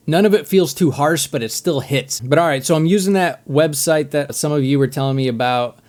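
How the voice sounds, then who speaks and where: Man casually hosting a reaction video. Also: medium pitch. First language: English. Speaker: music reaction video host. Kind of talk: casual reaction commentary to camera.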